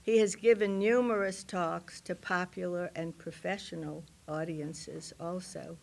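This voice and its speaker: A woman reading a formal introduction of a speaker in a measured manner. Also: lowish voice, fairly even intonation, creaky, slight background noise. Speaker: event introducer.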